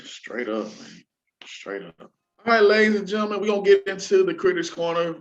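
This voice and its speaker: A man hosting a casual entertainment news show, talking in an animated, slangy way and addressing listeners like an announcer. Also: sits high, brisk. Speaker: show host. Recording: dull lo-fi audio, music underneath.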